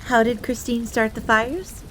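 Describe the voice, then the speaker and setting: An excitable fox maid acted by a woman tells her tale in a theatrical, fairly high voice. She is devoted and speaks of herself in the third person, as in an audio roleplay. Light ambient noise sits behind her. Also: a little slow, close to an everyday voice, low-quality recording. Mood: devoted, proud. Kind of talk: in-character storytelling audio roleplay. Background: background ambience.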